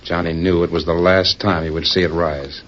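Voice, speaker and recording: An actor playing a grave, theatrical narrator of a 1940s radio western serial, telling the story solemnly. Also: male voice, low-pitched, little pitch movement, normal speed, a little hiss or hum, natural voice. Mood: grave, suspenseful. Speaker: narrator and announcer. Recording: muffled 1940s radio recording.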